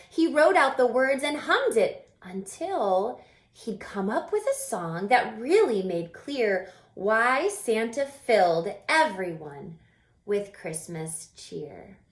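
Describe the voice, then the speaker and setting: Expressive woman reading to children, roomy sound. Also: on the high side, slow. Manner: expressive, explaining, asks listeners questions. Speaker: story reader and teacher. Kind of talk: children's read-aloud with explanatory asides. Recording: roomy, echoing room sound.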